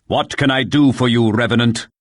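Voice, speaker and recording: A man's voice: mid-range voice, steady tone, moderate pace, dry recording, clean studio sound, lightly acted. A man voicing a helpful, courteous town advisor in a fantasy video game, speaking formally.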